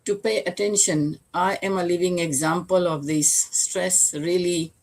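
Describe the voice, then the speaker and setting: An older woman from Fiji hosts a live online talk show in a low voice. She speaks earnestly and reflectively, pausing to pose questions to her viewers. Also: fairly even intonation, a little mellow. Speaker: host.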